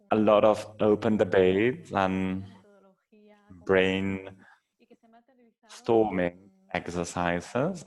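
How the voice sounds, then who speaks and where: A man with a Colombian accent who moderates a panel discussion and interprets the speakers into English, in a measured, formal way. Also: deep voice, poor audio.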